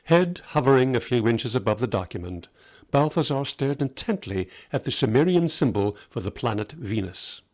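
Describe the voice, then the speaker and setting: A man's voice: medium pitch, lively intonation, moderate pace, a little mellow. A man reading his own short story aloud as a scripted audiobook narration.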